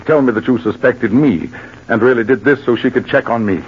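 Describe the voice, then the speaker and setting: An actor playing a heartbroken, disbelieving colonel with a pleading, halting manner, 1940s radio drama. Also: male voice, mid-range voice, close to an everyday voice. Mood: anguished disbelief. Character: heartbroken, disbelieving colonel betrayed by his sweetheart. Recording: muffled, noisy 1940s radio recording.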